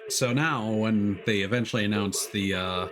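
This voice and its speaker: A man's voice: fairly low pitch, moderate pace, over music. A man streamer chatting casually and rambling with many restarts while a game loads.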